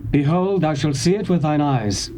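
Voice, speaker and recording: An actor playing a stern, commanding prophet who speaks in a solemn, declamatory way. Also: male voice, fairly high pitch, lively intonation, moderate pace, mellow, lightly acted, low-quality recording. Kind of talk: dramatized scripture reading. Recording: faint tape hiss.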